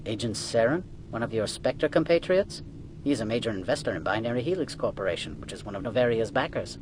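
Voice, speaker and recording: Casting: male actor as snooty alien administrator for sci-fi game, medium-pitched, impatient, condescending, pompous. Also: expressive, brisk, slight background noise, natural voice. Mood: cold, evasive. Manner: pompous, dismissive. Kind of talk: video game cutscene acting.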